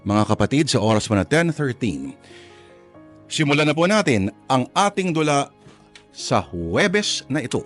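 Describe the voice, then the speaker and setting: A man hosts a radio drama program with a lively, swinging delivery at a middling pitch. He talks to listeners like a preacher, posing rhetorical questions as he sets up the story, with soft music underneath. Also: normal speed. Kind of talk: conversational radio introduction to a drama. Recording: music behind the voice. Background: background music.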